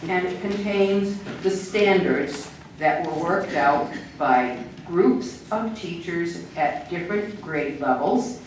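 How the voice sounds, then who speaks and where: An older woman giving a measured, explanatory public talk as an invited speaker. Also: lowish voice, a little mellow. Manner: measured, explanatory, with small laughs. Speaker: invited speaker on education standards. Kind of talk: public lecture. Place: echoey hall. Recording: echoey hall, distant mic, faint noise.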